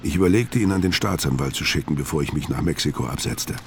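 Very deep-voiced, world-weary hard-boiled criminal. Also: male voice, animated, fast talker, gravelly, slight background noise, exaggerated voice. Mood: terse, matter-of-fact. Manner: terse, laconic. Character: gruff, world-weary hard-boiled male criminal narrating his story. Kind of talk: first-person crime radio drama narration and dialogue.

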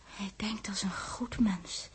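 An actress playing a wistful young woman space traveller who sees visions, in a breathy, slow, dramatic 1960s Dutch radio drama style. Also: mid-range voice, whispered. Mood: entranced, wistful.

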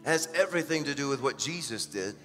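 Male preacher, short phrases for an interpreter, echoey church hall with soft music. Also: mid-range voice, expressive, fairly quick. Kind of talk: sermon with live interpretation.

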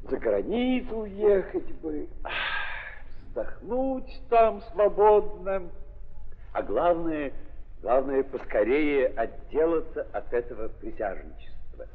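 A man's voice: on the high side, big pitch swings, slow. An actor playing a restless, emotional young nobleman in a 1930s radio play, repeating his words with theatrical feeling. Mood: anguished, disgusted. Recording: muffled 1930s recording, long reverberant hall.

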